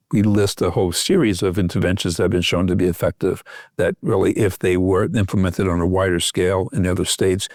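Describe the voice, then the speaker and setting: A man's voice: sits low, darkish tone, no echo, clean studio sound. A man speaking as an expert guest in a podcast interview, explaining earnestly and repeating key phrases. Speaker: expert guest, physician researcher.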